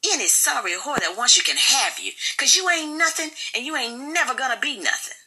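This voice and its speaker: A woman's voice: sits high, sing-song, brisk, bright, forceful tone, breathy edge, pitched above the performer's own voice, full character voice, poor audio. A woman voicing an angry, accusing wife in an audiobook, with biting, sarcastic, colloquial delivery. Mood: bitter, mocking. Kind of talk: audiobook character dialogue.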